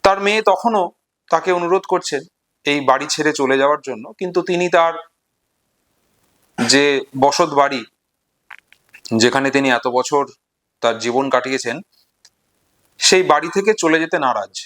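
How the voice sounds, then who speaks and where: A man giving an informal literary lecture and retelling stories, in deliberate, measured phrases broken into short segments. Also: a little high, fairly bright tone. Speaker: literature explainer and presenter.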